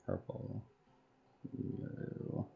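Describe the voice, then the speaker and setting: A man streaming a game, talking to himself in halting, terse fragments. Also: narrow, tinny audio, a little hiss or hum. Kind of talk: muttering item names while making a list.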